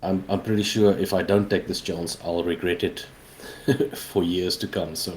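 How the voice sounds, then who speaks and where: South african guy in his 40s, afrikaans first language, really low voice, casual interview chat, some background noise. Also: steady tone, moderate pace, darkish tone. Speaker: interview guest, indie game developer.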